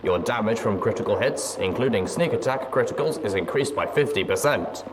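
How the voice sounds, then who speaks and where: A man reading a story aloud as host, in expressive first-person narration. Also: fairly low pitch, brisk, noisy background. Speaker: audiobook reader and show host.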